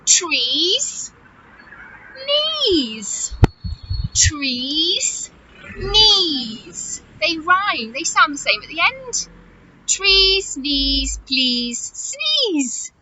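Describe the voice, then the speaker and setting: A high-pitched woman leads storytime for little children in the manner of a nursery teacher. Her delivery is slow and swoops widely, with exaggerated emphasis and direct questions to her young listeners. The recording is a slightly muffled home video with faint noise. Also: big pitch swings.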